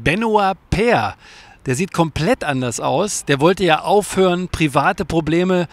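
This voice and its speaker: A man presenting a tennis web show on location, chatting casually and colloquially straight to the viewers. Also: on the high side. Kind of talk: casual on-location reporting to camera. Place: outdoors at a tennis tournament. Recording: clearly noisy outdoor location sound.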